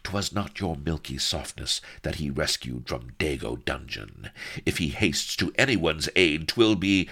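A man's voice: rumbling low, very animated, measured pace, pushed and bright, breathy edge, full character voice. A man voicing a spiteful woman rival in an adventure story, sneering and taunting.

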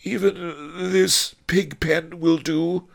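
A man's voice: on the high side, fairly even intonation, slow pace, creaky, voice pushed up, heavily acted. A man voicing a fussy, weary lord in a storybook, affectedly feeble and panting. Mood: faint disgust.

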